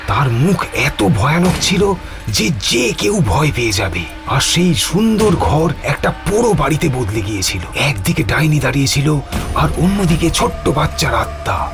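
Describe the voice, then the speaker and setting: A man narrates a horror tale with a very lively, swooping delivery and a slightly high pitch. His telling is dramatic and suspenseful, with music clearly behind him. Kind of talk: scripted horror story narration.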